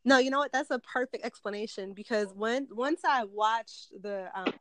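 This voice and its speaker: A woman's voice: fairly high pitch, normal speed, a bit bright, a little hiss or hum, low-quality recording. A woman in her thirties from Detroit, hosting her own interview show in a chatty, upbeat way. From Detroit, USA. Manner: chatty, upbeat, light laughs. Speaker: podcast host. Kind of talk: casual interview chat.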